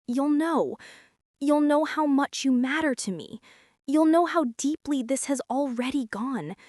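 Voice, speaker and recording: A woman performing a soft ASMR roleplay as an insecure woman CEO confessing her feelings to an employee, talking in a hushed, halting way that slips into whispers. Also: fairly high pitch, no echo, studio quality, natural voice.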